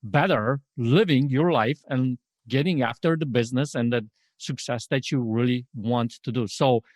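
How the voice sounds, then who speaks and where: A man's voice: medium pitch, moderate pace, darkish tone, no room sound, clean studio sound. A man hosting a podcast in an upbeat, emphatic, motivational way, often posing rhetorical questions as he introduces and interviews. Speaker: podcast host.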